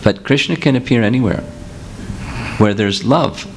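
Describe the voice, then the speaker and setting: A man's voice: mid-range voice, normal speed, vocal fry, a little hiss or hum, poor audio. A man giving a seminar talk as a spiritual teacher, explaining patiently with examples and answering questions. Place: seminar room with audience.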